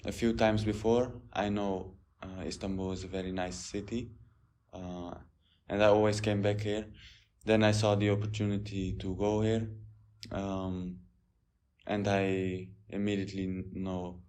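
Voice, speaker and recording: A man's voice: deep voice, flat delivery, a little slow, darkish tone. A man in his twenties from the Netherlands, an exchange student answering in plain short sentences with hesitant fillers. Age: 20s. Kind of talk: casual interview answers.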